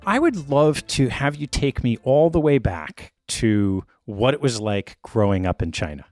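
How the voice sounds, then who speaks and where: Male host reading intros and questions, animated delivery, soft music bed. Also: mid-range voice, unhurried, dry recording, clean studio sound. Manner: smooth, read intro with an ad segment. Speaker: podcast host. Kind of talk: scripted intro and interview questions.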